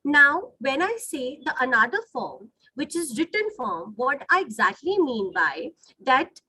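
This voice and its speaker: A woman's voice: sits high, very animated, measured pace, bright, forceful tone, breathy edge, dry recording, poor audio. A woman with an Indian accent, a native Hindi speaker, teaching an online lesson in a didactic way, rephrasing points and checking in with her listeners. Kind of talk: online lesson, explaining to students. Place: online video call.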